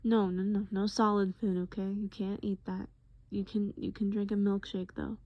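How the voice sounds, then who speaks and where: A woman's voice: mid-range voice, level tone, moderate pace, dry recording, dull audio, lightly acted. Woman as patient, tender boyfriend in intimate roleplay, coaxing and repetitive, mid female register, dry close sound. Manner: coaxing, repetitive, pet names, simple reassurances. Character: gentle, patient boyfriend soothing a groggy partner.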